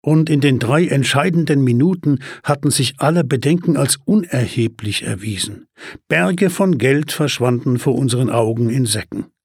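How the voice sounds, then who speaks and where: A man narrating a first-person crime story as an audiobook, measured and tense with dry humor. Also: medium pitch, darkish tone, husky, no echo.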